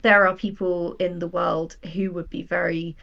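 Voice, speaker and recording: A woman guest on a podcast, a writer and game creator talking casually and telling things in an animated, quote-acting way. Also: on the low side, moderate pace, creaky, highs cut off.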